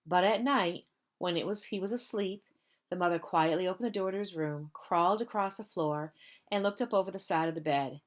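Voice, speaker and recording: A woman's voice: on the low side, brisk. A woman reading a picture book aloud to young pupils as a member of school staff, lingering on repeated phrases. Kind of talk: children's storybook read-aloud.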